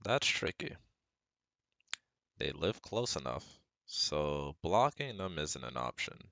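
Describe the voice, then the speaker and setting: Very deep, gravelly young man, acting as caring friend. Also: big pitch swings, slow, pushed and bright, no echo, exaggerated voice. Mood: frank, supportive. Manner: reassuring, earnest, talks directly to a close friend. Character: caring, protective male best friend, young pre-med psychology student. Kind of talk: scripted ASMR roleplay, speaking to the listener.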